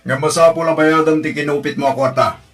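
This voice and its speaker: A man's voice: on the high side, steady tone, brisk, fairly bright tone, faint music behind. A man playing a stern uncle, a business owner, in a radio drama, firm and accusatory. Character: stern, accusing uncle who owns a restaurant. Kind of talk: radio drama acting in Ilocano.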